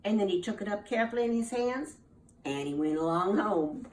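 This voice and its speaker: A woman telling a children's story aloud in an animated, rhythmic way, with repeated phrases and dramatic pauses. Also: mid-range voice, slight background noise. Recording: echoey room, distant from mic.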